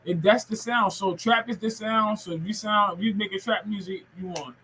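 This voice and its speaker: Male podcast host, forties. Forceful high voice, loose profane street-slang storytelling, faint noise behind. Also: fairly even intonation, normal speed, poor audio. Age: 40s. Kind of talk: casual interview chat.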